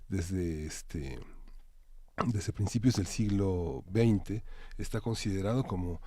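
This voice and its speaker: A man with a fairly low voice comments as co-host of a live talk show. He builds his thoughts in a halting, roundabout way, checking in with short tag questions. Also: warm tone, no echo. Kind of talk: live discussion, cultural commentary.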